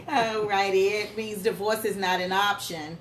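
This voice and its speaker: A woman's voice: mid-range voice, steady tone, measured pace. A woman co-hosting a casual chat show with her husband, playful and laughing often. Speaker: co-host. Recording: echoey room with faint noise.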